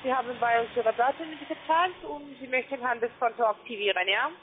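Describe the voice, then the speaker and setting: A woman who is a non-native speaker delivers an insistent scripted sales pitch as a telephone account manager. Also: fast. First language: not German. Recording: tinny noisy phone line.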